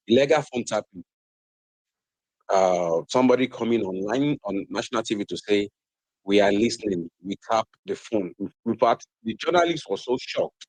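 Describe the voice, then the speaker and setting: A man's voice: on the low side, darkish tone, breathy edge, no echo, rough recording. A man with a Nigerian accent, arguing heatedly as a guest on a TV debate, repeating phrases for emphasis. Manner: impassioned, repeats phrases for emphasis, many fillers. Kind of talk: heated political argument.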